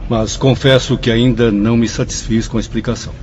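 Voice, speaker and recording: An actor playing a proud, skeptical professor in the spirit world, in a radio drama with a muffled sound. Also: male voice, mid-range voice, level tone, a little fast, a little mellow, slight background noise, close to an everyday voice. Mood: questioning. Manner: questioning, slightly vain.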